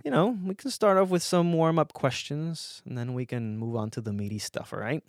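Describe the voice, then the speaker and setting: Relaxed male interviewer, dry sound. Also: fairly high pitch, moderate pace, no room sound. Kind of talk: casual interview.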